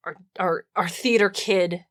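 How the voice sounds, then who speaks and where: A woman hosting a podcast in casual, comedic chat, animated and rambling with slangy asides. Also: lowish voice, a little slow. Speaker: podcast host.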